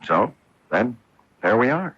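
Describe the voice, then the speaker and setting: An actor in a radio drama playing a defensive, self-deprecating former golfer husband who talks hesitantly and ruefully. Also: male voice, medium pitch, big pitch swings, takes it slowly, fairly bright tone, slightly rough, exaggerated voice. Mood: defensive, reluctant. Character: bitter, defensive former pro golfer husband with a guilty secret. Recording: muffled old AM radio broadcast.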